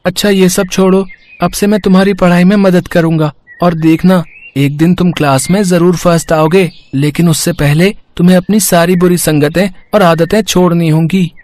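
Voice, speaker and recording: A man's voice: high voice, fairly even intonation, moderate pace, a little mellow, lightly acted. A man voicing a kind, wise seven-year-old poor boy, a god in disguise, in a Hindi moral story, earnest and encouraging.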